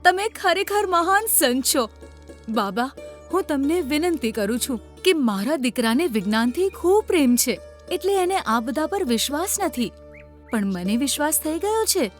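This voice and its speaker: A woman's voice: high-pitched, very animated, moderate pace, soft background music. A woman voicing an earnest, trusting village headwoman in a children's cartoon, with a devout, pleading manner. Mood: reverent, pleading.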